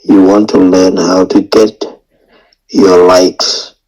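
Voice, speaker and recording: A man teaching guided meditation in non-native English phrasing, speaking in short, halting phrases with long pauses. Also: low voice, little pitch movement, darkish tone, rough recording. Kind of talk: guided meditation and dharma talk.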